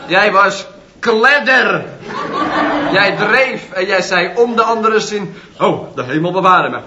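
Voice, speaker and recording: A smug, teasing nephew. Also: male voice, high voice, lively intonation, takes it slowly, over a noisy crowd. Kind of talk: 1960s radio comedy acting. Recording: dull, roomy old radio recording with clear hiss.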